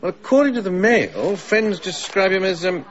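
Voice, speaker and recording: An inquisitive chatterer in a radio drama. He is effusive but halting, with a fairly high voice that moves widely in pitch. The sound is slightly dull. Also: animated. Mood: gossipy, probing. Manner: hesitant, effusive, gossipy. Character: nosy, chatty man making polite small talk.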